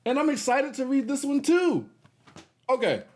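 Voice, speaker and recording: A man hosting a read-aloud for young children in an animated style, encouraging them and adding chatty asides. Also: very high-pitched, normal speed.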